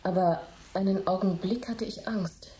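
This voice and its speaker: An actress playing an uneasy, apologetic hostess in a radio play, speaking hesitantly. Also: female voice, medium pitch, steady tone, moderate pace, warm tone, echoey room, a little hiss or hum, lightly acted, poor audio. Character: nervous hostess, easily startled and apologetic.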